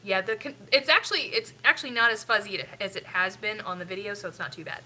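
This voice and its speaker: Middle-aged woman, stuffy sniffly nose, rambling casual chat, bright voice. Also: medium pitch, quick pace, a little hiss or hum, poor audio. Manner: rambling, chatty, with frequent ums. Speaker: family vlogger hosting a live stream. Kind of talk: casual live-stream chat.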